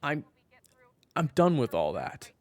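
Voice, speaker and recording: A man playing a brooding, weary former killer in an audio drama, narrating his own story in a gravelly voice. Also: medium pitch, sing-song, slow pace, roomy sound. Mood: weary, defensive. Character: brooding weary ex-killer husband fighting his inner demon. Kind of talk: audio drama first-person narration and dialogue.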